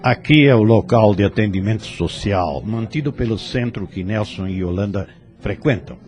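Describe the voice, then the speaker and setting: A man's voice: lowish voice, normal speed, darkish tone, lightly acted. A Brazilian man playing a serene, fatherly spirit instructor in an audio drama, speaking formally and consolingly as he teaches. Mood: calm, instructive.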